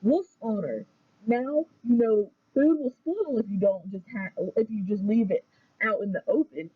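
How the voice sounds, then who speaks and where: A woman's voice: on the high side, unhurried, husky, dry recording, narrow, tinny audio. A woman voicing an aggrieved storybook wolf who tells his side in a folksy, mock-innocent, conversational way. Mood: indignant, self-justifying. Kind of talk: readers theater storytelling.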